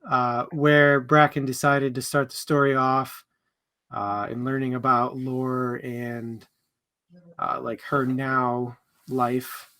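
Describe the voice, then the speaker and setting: A man's voice: mid-range voice, level tone, slow pace, sharp tone, clear, resonant tone. A man co-hosting a live book discussion stream, talking casually and in a friendly, informal way to viewers.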